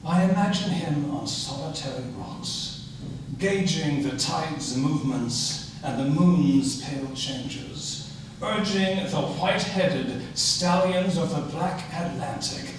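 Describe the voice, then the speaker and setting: A man reciting poems at a live reading with deliberate phrasing and pauses. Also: fairly high pitch. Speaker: poetry reader at an event. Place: auditorium stage at a public reading. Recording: echoey auditorium, distant mic, noisy.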